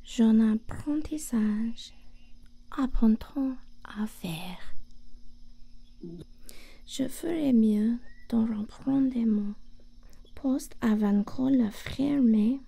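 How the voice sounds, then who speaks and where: Woman for ASMR roleplay: hushed, breathy whisper, slightly high, drawn out very slowly. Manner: slow, gentle, hushed delivery.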